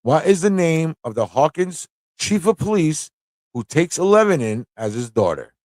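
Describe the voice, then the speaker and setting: A man's voice: on the high side, big pitch swings, moderate pace, a little mellow, dry recording. A man hosting a casual live-stream trivia show, chatty and playful, often thinking aloud to his viewers. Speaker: host of a live pop culture show.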